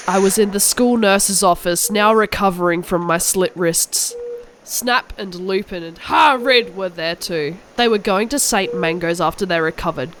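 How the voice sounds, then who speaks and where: A woman's voice: medium pitch, noisy background. A woman narrating a cartoon story in the first person as a melodramatic, angsty goth teenage girl.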